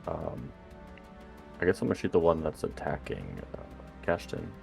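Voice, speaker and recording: Very deep, gravelly, hesitant man. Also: sing-song, moderate pace, faint music behind. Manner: hesitant, low-key. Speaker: tabletop game player. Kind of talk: casual game table chat.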